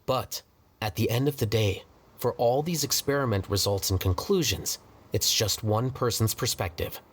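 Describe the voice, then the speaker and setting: An actor playing an aloof, rational young scholar in a video game, speaking in a measured, formal and detached way. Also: male voice, on the low side, husky. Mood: detached, lecturing.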